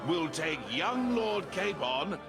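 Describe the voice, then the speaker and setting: A man playing a proud, formal, gravelly nobleman in a video game. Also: high-pitched, sing-song, takes it slowly, exaggerated voice. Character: proud noble uncle making formal announcement. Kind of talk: video game drama acting. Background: music.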